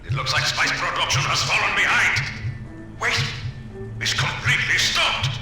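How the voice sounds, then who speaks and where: An imperious high-voiced tiger villain. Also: male voice, sing-song, normal speed, over music. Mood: suspicious, alarmed. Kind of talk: cartoon video game cutscene acting.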